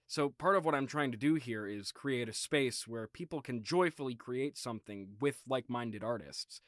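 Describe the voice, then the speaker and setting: Man as himself, mid-range voice, casual, plainspoken and deadpan comedy delivery, recorded dry. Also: medium pitch, dry recording. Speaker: founder of an audio production company, playing himself. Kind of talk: casual conversational acting in a comedy skit.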